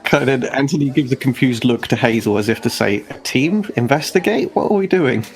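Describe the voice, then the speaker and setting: A man's voice: medium pitch, expressive, a little fast. A man playing a tabletop roleplaying game, talking casually in and out of character. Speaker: player.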